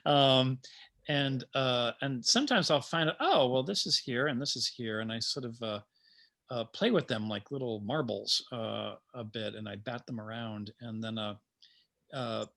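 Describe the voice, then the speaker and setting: A man talking informally as a playwright about his work, in a halting, self-amused way full of trailing asides. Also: mid-range voice, creaky, fairly bright tone. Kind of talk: informal panel conversation.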